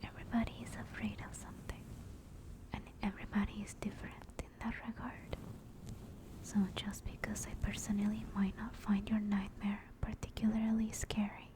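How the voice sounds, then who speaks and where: A woman playing a gentle, affectionate sleep paralysis demon in a soothing, reassuring whispered ASMR comfort roleplay. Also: mid-range voice, little pitch movement, lightly acted, rough recording. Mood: tender, reassuring. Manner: soft, slow, soothing, reassuring.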